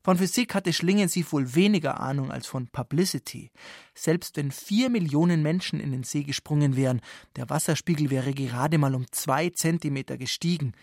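Wry male radio host with creaky voice. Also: on the high side, normal speed, a little mellow, dry recording, clean studio sound. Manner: wry, ironic, rhetorical. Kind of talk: scripted satirical radio narration.

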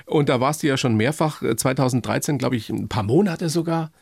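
A man's voice: mid-range voice, fairly quick, gravelly, slightly rough, no room sound, clean studio sound. A man interviewing a guest in a casual, familiar, conversational way.

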